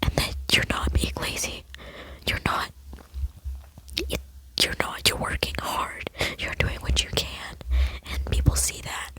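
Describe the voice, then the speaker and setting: A woman's voice: a little slow, long pauses, low-quality recording. A woman in her late teens whispering an earnest, rambling ASMR chat into a close microphone. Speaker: ASMR vlogger.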